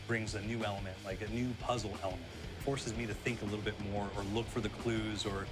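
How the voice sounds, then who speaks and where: Lower-voiced man in interview, music behind. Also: fairly even intonation, quick pace. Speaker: game developer. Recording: clear music bed behind.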